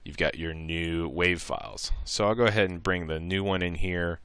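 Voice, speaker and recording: A man with a low, clear voice walks through a software tutorial in an informal way. He thinks aloud step by step, sounding bright and close in a dry space. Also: normal speed, dry recording. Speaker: tutorial presenter. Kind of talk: casual screen-recorded software tutorial.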